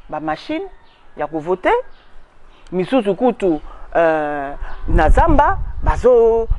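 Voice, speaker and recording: A woman in her forties with a Congolese accent, giving an emphatic outdoor interview on a lapel mic. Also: fairly low pitch. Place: outdoors in a garden.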